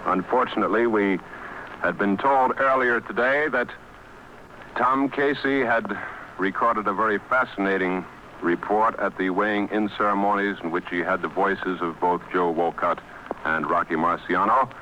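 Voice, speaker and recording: A man anchoring a live radio news bulletin, calmly stalling as the links to his reporters fail. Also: fairly low pitch, normal speed, lo-fi sound, a little hiss or hum. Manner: composed, stalling through failed links.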